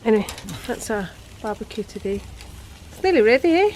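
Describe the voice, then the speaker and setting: A woman's voice: on the high side, very animated, brisk, darkish tone, reverberant, slight background noise, low-quality recording. A woman in her sixties chatting cheerfully to camera in her home kitchen, vlogging about her day. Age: 60s. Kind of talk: casual chat to camera.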